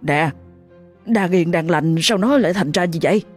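Give me a confused, just-woken husband, voiced by a woman, low and husky. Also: moderate pace, soft background music. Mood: startled. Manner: confused, startled. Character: groggy startled middle-aged husband.

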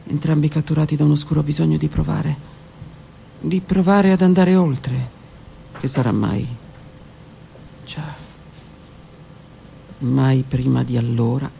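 Woman reading aloud in a gravelly hush. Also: deep voice, steady tone, slight background noise, rough recording. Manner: slow, intimate, hushed delivery with whispered words. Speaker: author reading her own book. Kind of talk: dramatic literary reading on radio. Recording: radio broadcast with faint music.

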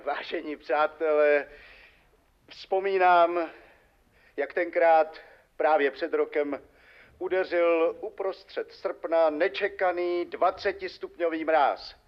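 Man playing high-pitched declamatory public speaker. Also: fairly even intonation, natural voice. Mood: solemn. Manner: declamatory, projecting. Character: earnest male public speaker at a noisy celebration. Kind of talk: theatrical public speech in radio drama. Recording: dull muffled sound, very noisy gathering.

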